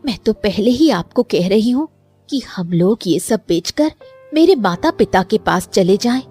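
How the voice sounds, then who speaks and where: A woman's voice: medium pitch, lively intonation, a little slow, a little mellow, breathy edge, faint music behind. A woman voicing a worried, nagging farmer's wife who complains and pleads in a cartoon story. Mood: complaining.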